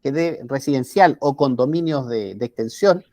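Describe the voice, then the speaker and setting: A man with a Chilean accent, talking casually on a podcast about his work, halting and restarting words. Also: mid-range voice, a little mellow, no echo. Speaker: podcast co-host. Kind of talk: casual chat.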